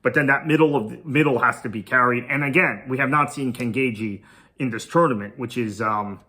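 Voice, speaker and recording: Bright-voiced man previewing cricket. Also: mid-range voice, brisk. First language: Tamil. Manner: thinking aloud, conversational. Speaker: podcast host. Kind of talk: solo cricket preview commentary.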